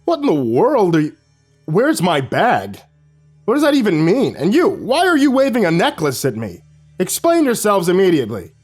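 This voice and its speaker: A man's voice: a little high, very animated, brisk, darkish tone, soft background music. A man voicing a mild-mannered school principal who sputters and turns stern and demanding. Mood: sputtering.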